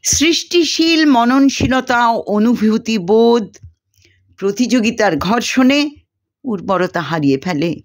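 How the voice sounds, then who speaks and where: A woman reader acts out a brooding young male student from a storybook. The delivery is slow and declamatory, with wide swings of pitch and a poetic, despairing temper. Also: animated. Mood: wistful, brooding. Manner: dramatic, declamatory. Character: brooding young male student reciting poetry, theatrical, despairing about competition.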